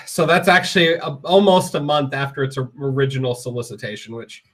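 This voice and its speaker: A man co-hosting a podcast and running through the news. He is upbeat and conversational and quick to get excited. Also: fairly high pitch. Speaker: podcast co-host reading the news. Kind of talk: casual podcast news rundown.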